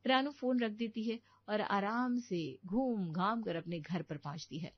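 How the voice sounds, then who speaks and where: A woman's voice: medium pitch, unhurried, dry recording, dull audio. A woman narrating a scripted story as its storyteller.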